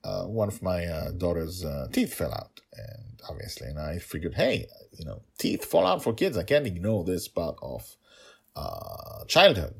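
A man's voice: deep voice, sing-song, measured pace, creaky, breathy edge. A middle-aged man, an author and podcast narrator, talking informally and explaining things to his listeners in a casual, rambling way. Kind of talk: casual behind-the-scenes talk to listeners.

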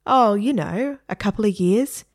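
A woman's voice: medium pitch, measured pace, lightly acted. A woman voicing a breezy, salesy pet shop keeper in a read-aloud story.

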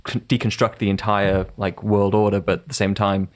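A man's voice: lowish voice, little pitch movement, fairly quick. A man in a casual book discussion, talking in loose, restarting sentences and laughing often. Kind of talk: casual book club chat.

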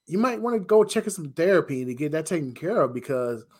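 Rough-voiced man, pitched a little high. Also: very animated, quick pace, darkish tone. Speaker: talk show co-host. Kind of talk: casual opinionated conversation.

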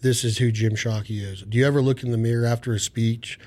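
Man, podcast host, quick delivery, long rambling enthusiastic questions. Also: mid-range voice, steady tone, a little mellow. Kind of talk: podcast interview conversation.

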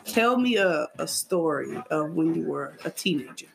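A woman's voice: sits low, expressive, moderate pace, creaky, slight background noise, poor audio. A woman hosting a podcast, interviewing her great uncle in a playful, teasing way. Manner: playful, teasing, laughs often. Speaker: podcast host interviewing family. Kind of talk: casual family interview.

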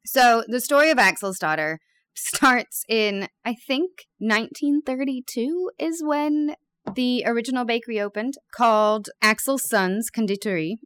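A woman's voice: fairly high pitch, a little slow, fairly bright tone, clear, resonant tone. A woman in her thirties, a bakery owner, talking openly and candidly as an interview guest with some self-deprecating humor. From born in Peru, raised abroad. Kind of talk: casual interview chat.

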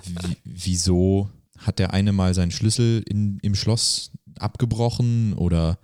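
A man's voice: deep voice, fairly even intonation, a little slow, a little mellow, no room sound. A man co-hosting a podcast, chatting casually in a dry, tongue-in-cheek way. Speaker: podcast co-host. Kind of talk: casual chat.

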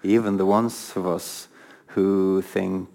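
Gravelly low-voiced male interviewer. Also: level tone, slow, mellow. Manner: hesitant, many fillers, interested. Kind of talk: interview questions.

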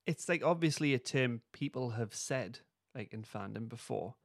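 A man's voice: medium pitch, animated, normal speed, vocal fry, no room sound, clean studio sound. A man co-hosting a podcast in loose, informal conversation. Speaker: podcast co-host. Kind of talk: casual chat.

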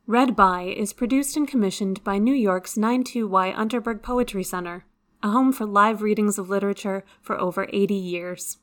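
A woman's voice: medium pitch, moderate pace. Female announcer, mid-range voice, scripted podcast outro and listener appeal. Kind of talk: scripted narration, closing credits.

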